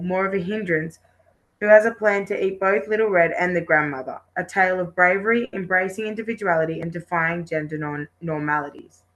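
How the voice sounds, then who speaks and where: A woman's voice: fairly low pitch, fairly bright tone, rough recording. Woman reading a prepared presentation. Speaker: student presenter. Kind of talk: rehearsed presentation, reading from a script.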